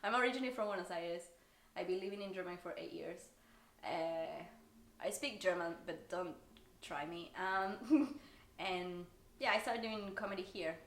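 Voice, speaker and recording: A woman's voice: fairly low pitch, normal speed, a bit bright. A woman, chatting as a comedian guest on a podcast and laughing often in a rambling, self-mocking way. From Argentina. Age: 30s. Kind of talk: casual podcast chat. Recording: echoey room.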